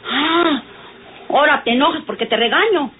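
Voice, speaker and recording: A woman's voice: high voice, normal speed, close to an everyday voice. An actress playing a touchy, nosy, folksy working-class Mexican woman who scolds, in an old radio drama. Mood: indignant scolding. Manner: quarrelsome, folksy speech. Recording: tinny, noisy vintage radio recording.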